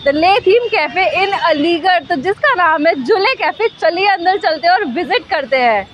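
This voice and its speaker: A woman in her twenties reviewing food at a small cafe, chatting casually and drawing out her tasting remarks slowly. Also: extremely high pitch, animated, a bit bright, a little hiss or hum. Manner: chatty, mixes Hindi and English, slow drawn-out tasting remarks. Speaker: food vlogger. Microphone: handheld phone camera at arm's length.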